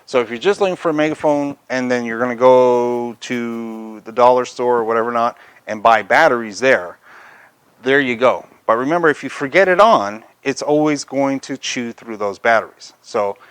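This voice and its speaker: A man's voice: medium pitch, normal speed, a bit bright, smooth, dry recording. A man presenting a product review, talking through features in an unscripted, conversational, explanatory way.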